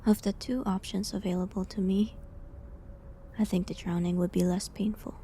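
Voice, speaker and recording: A woman playing a falsely accused village healer in a medieval audio drama, sad and resigned, speaking softly and hesitantly with pauses. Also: medium pitch, little pitch movement, a little hiss or hum, lightly acted. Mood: wry, resigned. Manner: soft, hesitant, breathy delivery with pauses. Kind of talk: dramatic audio roleplay monologue, medieval setting.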